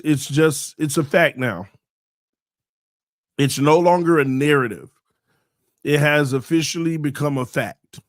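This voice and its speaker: A man's voice: a little high, no echo, clean studio sound. A man hosting a solo live sports show in a sing-song, hyped morning delivery, saying he is losing his voice.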